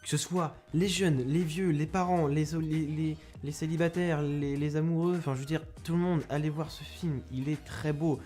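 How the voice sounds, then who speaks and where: A man's voice: on the high side, steady tone, moderate pace, few pauses, darkish tone. Rambling young Frenchman reviewing a film. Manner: rambling, self-deprecating, frequent restarts. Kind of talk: casual spoken film review. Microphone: close microphone.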